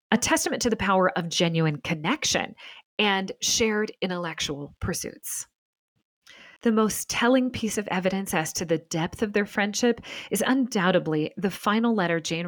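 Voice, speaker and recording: A woman hosting and narrating a scripted documentary in a measured, articulate storytelling manner. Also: mid-range voice, no room sound. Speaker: podcast host and narrator.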